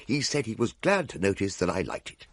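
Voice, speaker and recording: An actor playing a pompous, aggrieved young Victorian English gentleman telling his tale theatrically in a radio drama. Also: fairly low pitch, sing-song, fairly quick. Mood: pompous, wry. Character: pompous, aggrieved young Edwardian gentleman narrator, big eater.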